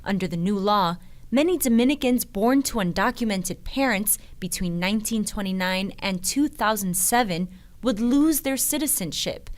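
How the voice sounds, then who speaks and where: A woman's voice: medium pitch, normal speed. A woman reading scripted news documentary narration in the manner of a reporter.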